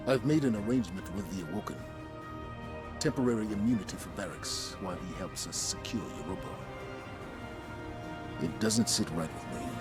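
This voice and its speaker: A stern game commander with a fairly low voice. He briefs with clipped, dutiful authority and a wary edge. Music is clearly heard behind him. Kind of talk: video game character dialogue.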